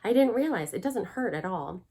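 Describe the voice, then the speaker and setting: A woman's voice: medium pitch, expressive, moderate pace, a little mellow, husky. A polite young storybook heroine, read aloud by a woman. She sounds mild and a little uncertain, easily puzzled. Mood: surprised.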